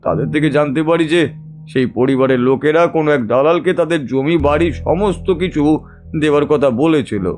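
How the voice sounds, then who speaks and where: A man acting a distressed, cheated family man in an audio drama, telling his troubles haltingly and seeking agreement. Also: a little high, darkish tone, soft background music, lightly acted. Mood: troubled, explaining. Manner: confiding, halting, seeks agreement with tag questions. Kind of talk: Bengali audio detective drama monologue.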